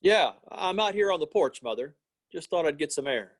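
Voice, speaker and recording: A man's voice: a little high, expressive, moderate pace, a little hiss or hum, natural voice. A man playing an earnest, idealistic young small-town fellow in an old-time radio play, plain-spoken and given to repeating himself. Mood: wry, subdued.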